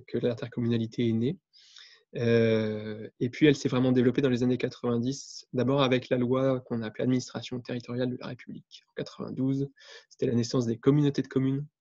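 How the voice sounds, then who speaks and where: Mellow Frenchman on a dull video call. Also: mid-range voice, dry recording. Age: 30s. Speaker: guest speaker on intercommunal government. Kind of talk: explanatory webinar presentation. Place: indoor room, on a video call.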